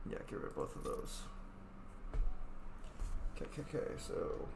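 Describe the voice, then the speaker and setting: A tired man casually commenting as he plays a video game, muttering to himself. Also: moderate pace. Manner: mumbling, talking to himself while playing. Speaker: game streamer. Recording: roomy with clear background noise.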